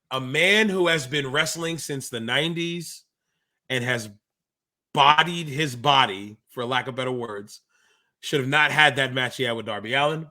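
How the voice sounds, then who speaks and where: A man's voice: medium pitch, pushed and bright. A man in his thirties co-hosting a wrestling podcast, chatting casually in slangy insider talk. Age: 30s. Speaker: co-host of a pro wrestling podcast; active pro wrestler. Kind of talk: casual podcast chat and commentary.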